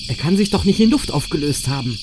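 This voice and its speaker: A man's voice: fairly high pitch, lively intonation, fast talker, noisy background, natural voice. A man playing a terse, sceptical, grumbling camper in a horror-comedy audio play. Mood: dry. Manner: dry, terse. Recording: music behind the voice.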